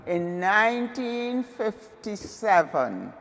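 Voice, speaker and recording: Older trinidad lady in her 80s, rough gravelly voice, reminiscing, long echo. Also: mid-range voice, big pitch swings, slow, noisy background. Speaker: interviewee. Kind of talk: recalling memories in an interview.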